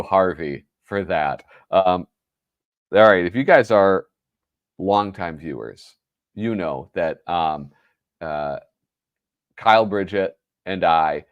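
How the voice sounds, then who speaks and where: A man with a deep, low-pitched and noticeably nasal voice, talking casually as the host of a livestream. He rambles, restarts his sentences and fills gaps with ums and uhs. The sound is dry and close, with almost no room around it. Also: dry recording, highs cut off. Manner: rambling, self-correcting, full of fillers.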